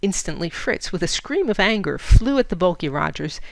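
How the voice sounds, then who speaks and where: Animated, low-pitched woman storyteller. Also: moderate pace, creaky, no echo. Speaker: audiobook narrator. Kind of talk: expressive literary story narration.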